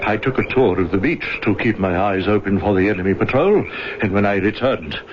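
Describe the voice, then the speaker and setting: A dutiful scout played by a man from a classic radio play, earnest and deferential to his officers. His voice is fairly low, on a dulled old broadcast with light noise. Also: a little fast, lightly acted. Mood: worried. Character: dutiful, eager military scout and subordinate. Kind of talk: old-time radio drama acting. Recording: muffled, faintly noisy old radio broadcast.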